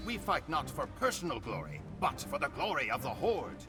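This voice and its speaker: An actor playing a grim Mongol warrior who solemnly recounts his Khan's conquests as dramatic game cutscene narration, with deliberate phrasing. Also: medium pitch, sing-song, music in the background, natural voice. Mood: solemn, foreboding.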